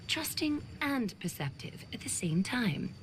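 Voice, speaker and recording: A woman's voice: a little high, big pitch swings, a little hiss or hum. Poised, gracious female leader. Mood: gently probing. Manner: measured, formal, poised. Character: composed, gracious woman leader, gently authoritative. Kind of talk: scripted game dialogue. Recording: clear music behind. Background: music.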